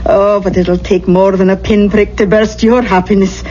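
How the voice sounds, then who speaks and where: An actress playing an affectionate, remorseful old Scottish aunt in a radio drama, speaking in a lilting, wistful, old-fashioned way. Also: female voice, medium pitch, little pitch movement, normal speed, mellow, close to an everyday voice. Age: older. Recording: muffled, noisy 1970s radio recording.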